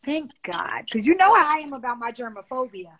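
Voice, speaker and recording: Animated woman host, slightly high voice, casual chat over a phone stream. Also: brisk. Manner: animated, exclamatory, gestures a lot. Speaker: livestream host. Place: bedroom, seated on a bed. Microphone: phone on a video call.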